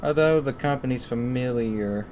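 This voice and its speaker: A man's voice: mid-range voice, normal speed, a little mellow, slight background noise. A man narrating a horror visual novel aloud on a stream, sometimes stumbling and rereading phrases.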